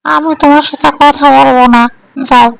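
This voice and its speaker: A woman's voice: high-pitched, fairly even intonation, normal speed, vocal fry. A woman playing a sulky young sweetheart in an amateur cartoon, scolding and pouting. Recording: faint background noise.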